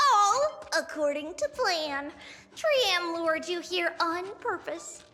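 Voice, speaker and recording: A woman's voice: extremely high pitch, expressive, takes it slowly, bright, forceful tone, faint music behind, full character voice. A young woman voicing a playful, mischievous little girl in an anime-style video game, with a cutesy, theatrical manner. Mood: smug, playful. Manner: cutesy, theatrical, refers to self by name. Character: playful, mischievous little girl who speaks in third person.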